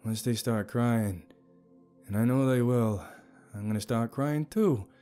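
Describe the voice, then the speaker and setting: A man's voice: mid-range voice, measured pace, mellow, soft background music, close to an everyday voice. A man playing a wry, candid young man, the casual and sardonic hero of an audio story. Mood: weary.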